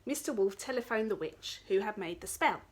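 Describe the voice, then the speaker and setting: A woman storyteller giving an expressive reading of a children's story. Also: medium pitch, brisk.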